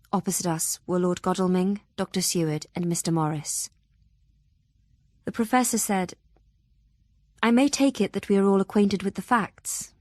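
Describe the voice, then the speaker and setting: An actress playing an earnest young Victorian woman, narrating solemnly and deliberately in an audio drama. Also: lowish voice, darkish tone, no echo, studio quality, lightly acted. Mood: quiet, composed.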